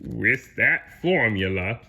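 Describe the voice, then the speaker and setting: A man voicing a small, sly, power-hungry cartoon villain with a theatrical, drawn-out delivery. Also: on the low side, expressive, gravelly, pushed and bright, slightly rough, exaggerated voice. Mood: scheming, gleeful.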